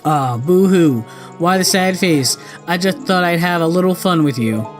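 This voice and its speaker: A man's voice: high-pitched, lively intonation, moderate pace, faint music behind. A man voicing a mischievous masked imp child villain from a video game, mocking and gloating. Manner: mocking, gloating, with a written-out giggle.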